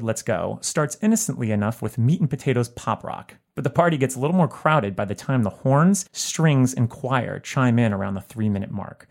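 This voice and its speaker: A man narrating a written album review in a measured, articulate way. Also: medium pitch, darkish tone. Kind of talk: scripted narration.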